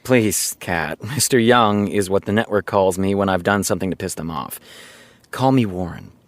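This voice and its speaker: Casting for audio drama: easygoing, earnest TV writer, fairly deep, dry studio sound, naturalistic confiding delivery. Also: male voice, lively intonation, no echo. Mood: breezy, welcoming. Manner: casual, confiding, storytelling with dramatic pauses. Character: easygoing male TV showrunner, chatty earnest raconteur.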